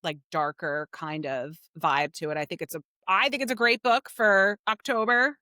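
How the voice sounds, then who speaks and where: A woman's voice: fairly low pitch, fairly even intonation, normal speed, sharp tone, dry recording, clean studio sound. A woman co-hosting a podcast, explaining things in a casual, conversational book discussion. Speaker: podcast co-host.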